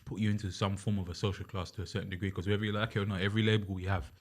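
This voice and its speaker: A man's voice: low voice, level tone, racing. A young man, a podcast guest talking earnestly in casual chat, with many restarts and fillers. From Uganda.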